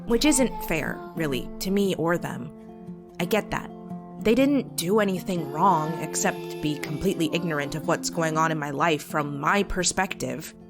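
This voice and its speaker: Give a slightly low-voiced teenage girl played by a woman, wry and fiercely loyal. She confides in her journal with sarcastic, emotive candor, as in a comic dub drama. Guitar music plays clearly behind her. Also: expressive, normal speed. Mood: bitter. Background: soft guitar music.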